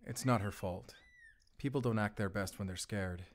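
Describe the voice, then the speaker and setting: A man playing an earnest, gentle wolf hunter in an audio roleplay, speaking in a measured, sincere way. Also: on the low side, slight background noise, natural voice. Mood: calm, resolute. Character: grown male wolf hunter, earnest, gentle, protective, duty-bound. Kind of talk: cinematic audio roleplay acting.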